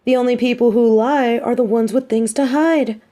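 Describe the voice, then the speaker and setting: A woman's voice: a little high, fairly even intonation, moderate pace, warm tone, close to an everyday voice. A woman playing a smug, mean but sympathetic supervillain captor in an audio roleplay, talking in a taunting, theatrical, conversational way. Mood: indignant, firm. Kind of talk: roleplay audio drama monologue.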